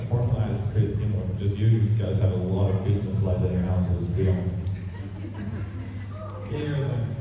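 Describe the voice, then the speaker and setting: A young man from Norway in his late teens, speaking English with a Norwegian accent in a relaxed, hesitant way, with many "uh" and "um" pauses, giving a presentation and answering questions in a school assembly hall. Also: low voice. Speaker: visiting exchange student presenter. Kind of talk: casual presentation and Q&A. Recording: echoey hall from far off, dull and noisy.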